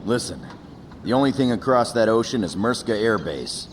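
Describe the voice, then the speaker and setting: A man's voice: sing-song, normal speed, a little hiss or hum. A man playing a weary, jaded air force captain in a video game, talking in a wry, resigned, colloquial way. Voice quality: deep. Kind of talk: game cutscene voice acting.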